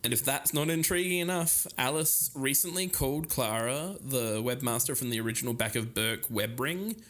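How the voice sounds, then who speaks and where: A man's voice: medium pitch, normal speed, fairly bright tone. A man reading scripted narration as co-host of an investigative podcast.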